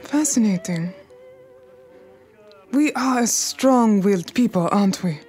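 A woman's voice: mid-range voice, lively intonation, a little slow, darkish tone, husky, soft background music. Give me a poised, imperious Harlem crime queen. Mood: cool, haughty. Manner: formal, commanding, drops French phrases. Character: imperious, poised Harlem numbers queen, wounded but unbowed. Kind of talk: audio drama acting.

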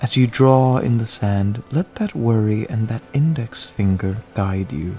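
A man playing a gentle, caring relaxation guide, leading a guided meditation in a calm, soothing, reassuring manner. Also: fairly low pitch, unhurried, soft background music, lightly acted.

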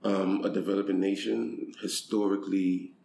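Brief: low-voiced man from Haiti, interview guest, thoughtful with restarts, echoey room. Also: little pitch movement, normal speed, gravelly, warm tone. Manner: thoughtful, repeats words while finding them. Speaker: guest, charity country director. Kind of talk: conversational interview. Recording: echoey room with faint noise.

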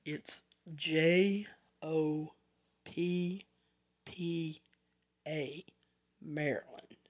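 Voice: mid-range voice, fairly even intonation, very slow. A podcast host with a Southern US accent, giving a rambling, chatty solo rundown with asides and self-corrections.

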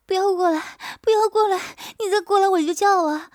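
A woman's voice: very high-pitched, fairly quick, a little mellow, dry recording, clean studio sound, voice pushed up. A woman voicing a young wife who pleads and cries out while pretending to be afraid, in a storybook reading. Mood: frightened. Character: playful young wife acting scared.

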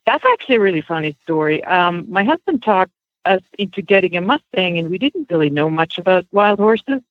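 A woman's voice: fairly low pitch, big pitch swings, brisk, no room sound. Female guest, casual storyteller, dry narrow telephone sound. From Switzerland. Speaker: interview guest, horse-training student. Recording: band-limited phone line.

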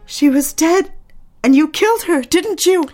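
An actress playing a fragile, haunted young wife in an audio drama, halting and anguished in a melodramatic way. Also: female voice, very high voice, lively intonation, mellow, soft background music. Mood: horrified, accusing.

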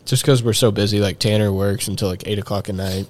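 A man's voice: on the low side, steady tone, quick pace, a little mellow. A young man, chatting casually as a podcast guest, rambling with lots of fillers and restarts. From Texas. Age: young adult. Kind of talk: casual chat.

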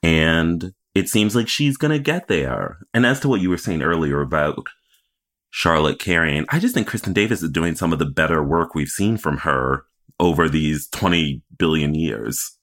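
A man's voice: a bass voice, expressive, normal speed, fairly bright tone. A man co-hosting a casual TV recap podcast, talking in an opinionated, emphatic and playful way. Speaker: podcast co-host.

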